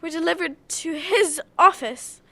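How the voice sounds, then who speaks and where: A woman's voice: very high-pitched, a little slow, fairly bright tone, full character voice. A woman playing a fragile, emotional young sweetheart in a melodramatic radio play, with a plaintive manner. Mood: puzzled, earnest. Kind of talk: melodramatic radio drama acting.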